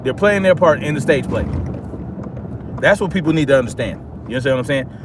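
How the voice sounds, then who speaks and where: A man's voice: mid-range voice, lively intonation, quick pace, noisy background. An American man giving casual political commentary as a livestream host, emphatic and repeating phrases for stress.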